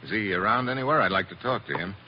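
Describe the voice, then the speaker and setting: An American actor playing a tough, casual secret agent in a 1940s radio drama. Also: male voice, fairly low pitch, expressive, fast talker, natural voice. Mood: probing, businesslike. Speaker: lead actor. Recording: muffled, hissy old radio recording.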